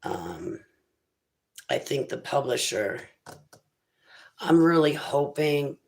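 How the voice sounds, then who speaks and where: Slow-talking raspy woman host. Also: very deep voice, big pitch swings. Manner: rambling, faith-filled musings. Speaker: internet radio host. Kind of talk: casual livestream chat.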